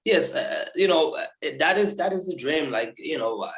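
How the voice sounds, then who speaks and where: A man's voice: a little high, expressive, moderate pace, a bit bright, echoey room. A young man from Liberia being interviewed over a video call, talking casually with many fillers and repeated words. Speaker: interviewee, law graduate.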